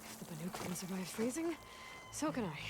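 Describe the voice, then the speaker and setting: An actress playing a bold, determined young huntress in a video game, with a direct, challenging manner. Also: female voice, mid-range voice, sing-song, fairly quick, noisy background, lightly acted. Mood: resolute. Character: bold, determined young female hunter heroine. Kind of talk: scripted video game dialogue.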